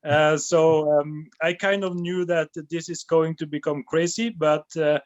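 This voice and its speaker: A man in his forties tells his story on a video call with a fairly high, bright-toned voice. He stacks repeated words and fillers as he builds the tale. The sound is dry and close, with no room echo. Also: a little slow, muffled recording. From Norway. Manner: animated storyteller, repeats words when excited. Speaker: documentary director. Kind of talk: casual interview storytelling over video call. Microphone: phone or laptop mic on a video call.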